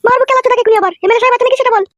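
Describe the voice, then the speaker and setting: A woman's voice: very high voice, rapid-fire, dull audio. A woman voicing an impatient, foul-mouthed young village son in a comic cartoon, brusque and threatening. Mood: annoyed, threatening.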